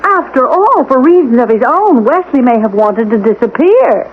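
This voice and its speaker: A woman's voice: high-pitched, sing-song, normal speed. An actress playing a composed, prim American woman assistant, speaking formally and firmly as she reasons and probes in a 1970s radio drama. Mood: insistent, formal. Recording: narrow-band, faintly noisy 1970s radio recording.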